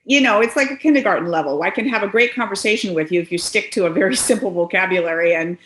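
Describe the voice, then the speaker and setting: A Canadian woman in her sixties, a guest on a video call from her living room, talks in a lively way with frequent laughs and quick asides. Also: mid-range voice, roomy sound, a little hiss or hum, rough recording. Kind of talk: casual conversation. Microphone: webcam on a video call.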